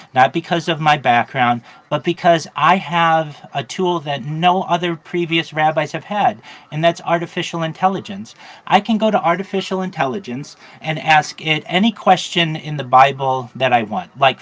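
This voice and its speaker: A man who is a rabbi and a native English speaker, giving an earnest interview answer in a measured way with frequent uh and um fillers. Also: fairly high pitch, a bit bright.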